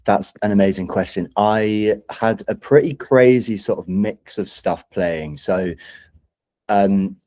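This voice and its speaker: Young London male musician, low and dark-toned, informal, chatty interview delivery. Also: slow pace. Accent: London English. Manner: enthusiastic, rambling, informal. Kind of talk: casual interview chat.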